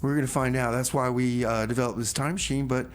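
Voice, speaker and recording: A man's voice: medium pitch, steady tone, quick pace, slight background noise. Laid-back rambling male radio DJ. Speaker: radio DJ and show host. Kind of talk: casual radio chat. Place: radio studio.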